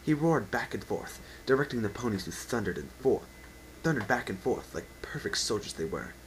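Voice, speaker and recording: Low-voiced man reading a story theatrically. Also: sing-song, normal speed, a little hiss or hum, poor audio. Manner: theatrical, emphatic delivery. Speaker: narrator. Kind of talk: unedited dramatic story reading. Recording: amateur home recording with faint whine.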